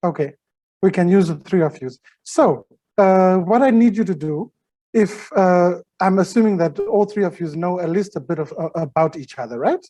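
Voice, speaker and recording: A workshop facilitator guiding a group exercise on a video call, talking in a halting way with many fillers and restarts. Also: mid-range voice, warm tone.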